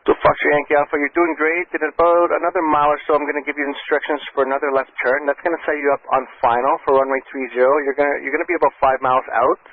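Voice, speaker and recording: A man working as an air traffic controller, giving calm, reassuring step-by-step instructions over aviation radio. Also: a little high, rapid-fire, hardly any pauses. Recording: muffled, band-limited aviation radio transmission.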